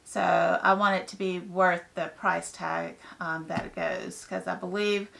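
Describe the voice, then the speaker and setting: A woman with a hoarse, raspy voice from a head cold, chatting casually through an unboxing with lots of ums. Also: on the low side, flat delivery, a little slow, a bit bright. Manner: chatty, rambling, many ums. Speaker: book vlogger.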